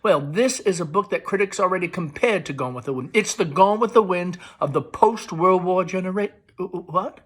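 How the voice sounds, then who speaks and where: A man's voice: sits high, moderate pace, few pauses, fairly bright tone, breathy edge, pitched above the performer's own voice. A man voicing a middle-aged Southern mother from a stage play, chattering in a gushing, theatrical way. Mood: gushing, wheedling. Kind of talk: play read-aloud.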